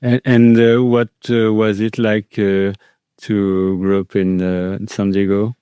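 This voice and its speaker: Casting: male interview host, mellow and clear, hesitant phrasing, dry studio-close sound. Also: lowish voice, flat delivery, dry recording. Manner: halting, hesitant phrasing with repeated words. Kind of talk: casual interview.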